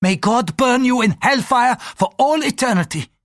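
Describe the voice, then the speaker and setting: An audiobook reader voicing an irritable, fanatical Portuguese priest who speaks in an impatient, accusing, forceful way. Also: medium pitch, sing-song, unhurried, husky, no echo, clean studio sound, voice pushed up. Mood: furious, damning.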